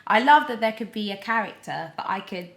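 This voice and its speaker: A young British woman chatting casually as a podcast guest, telling stories in an animated way peppered with "like". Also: medium pitch, a little fast. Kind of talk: casual interview chat. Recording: roomy, echoey sound.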